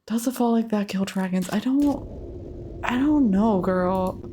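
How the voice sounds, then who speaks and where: A woman's voice: mid-range voice, slow pace, dark tone, slight background noise. A woman giving chatty, casual gameplay commentary, muttering reactions to herself as she plays. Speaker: let's play host.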